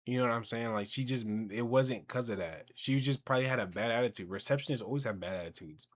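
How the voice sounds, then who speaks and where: A young man with a mid-pitched voice hosts a casual reaction commentary. He talks in an animated, conversational way with plenty of slang. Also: quick pace. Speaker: reaction video host.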